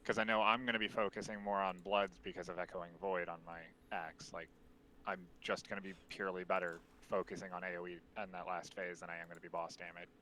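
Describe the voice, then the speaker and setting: Streamer leading a raid, deep voice held soft, bright edge, casual fillers. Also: male voice, little pitch movement, brisk, slight background noise, low-quality recording. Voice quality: deep voice, speaks quietly on purpose. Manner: explaining, loose with many fillers. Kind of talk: casual gaming voice chat.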